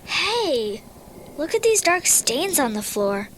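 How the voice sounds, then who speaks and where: Anxious scaredy-cat girl for kids' horror tape: very high, animated, pleading whine. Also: takes it slowly, slight background noise, heavily acted, low-quality recording. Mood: frightened. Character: timid, anxious young girl wanting to go home. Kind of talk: children's audio horror drama acting.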